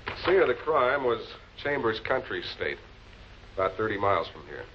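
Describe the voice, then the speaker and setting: An actor with an American accent playing a composed, probing public prosecutor in a 1940s television crime drama. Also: male voice, on the low side, big pitch swings, normal speed. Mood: brisk, composed. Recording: muffled, noisy old 16 mm film soundtrack.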